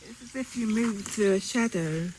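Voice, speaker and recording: A woman speaking reflectively and haltingly, with pauses, as in a poetic audio documentary. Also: medium pitch, darkish tone, a little hiss or hum, rough recording. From northern England. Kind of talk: reflective, unscripted documentary talk with poetic passages.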